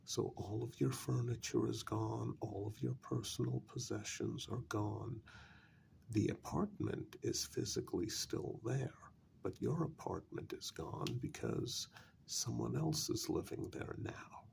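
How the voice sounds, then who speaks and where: A man playing a patient but blunt vampire envoy in an ASMR role-play, speaking softly and deliberately with a gravelly, breathy voice and firm emphasis. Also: medium pitch, a little hiss or hum. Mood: frank, pressing. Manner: slow, deliberate, with long pauses and firm emphasis. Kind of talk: soft-spoken ASMR role-play monologue.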